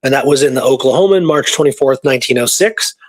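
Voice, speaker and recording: A man casually retelling history research from his notes, halting and informal as he reads out dates. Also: medium pitch, no echo.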